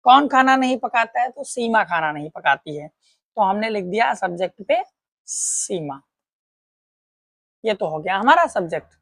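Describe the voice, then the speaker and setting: A woman's voice: medium pitch, big pitch swings, measured pace, pauses often, no room sound, clean studio sound. A woman teaching a patient, step-by-step grammar lesson, asking questions and answering them herself. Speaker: English teacher.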